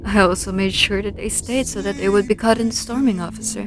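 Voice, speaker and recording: A woman playing a cold, bitter informant in a game roleplay, speaking deliberately and defiantly. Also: mid-range voice, steady tone, background noise, natural voice. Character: cold, bitter female informant confessing to police. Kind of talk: online game roleplay voice acting.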